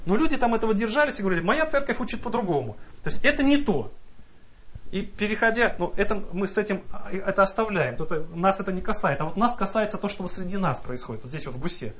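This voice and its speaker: A man's voice: sits high, animated, quick pace, fairly bright tone. A man preaching a sermon, earnest and exhorting, reading scripture aloud and mimicking the excuses of doubters.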